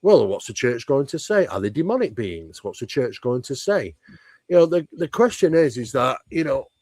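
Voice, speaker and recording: A man's voice: medium pitch, sing-song, normal speed, a little mellow, dry recording. A man speaking as an interview guest, explaining ideas at length in an emphatic, conversational way and often posing rhetorical questions. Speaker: interview guest, paranormal researcher. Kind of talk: conversational explanation of paranormal topics.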